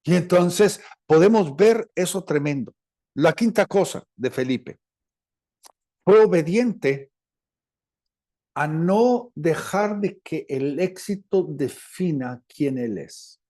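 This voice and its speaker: A male preacher with a Latin American Spanish accent and a fairly high, mellow voice. He teaches in sermon style, speaking deliberately and circling back over phrases to stress them. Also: expressive. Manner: deliberate, repeats phrases for emphasis. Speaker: preacher, apostle.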